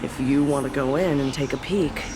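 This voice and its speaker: A woman's voice: very deep voice, moderate pace. A woman playing a sardonic, world-weary fake hospital doctor in a video game, with a wry, storytelling delivery. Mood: ominous, warning. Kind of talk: animated video game voice acting. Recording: music behind the voice.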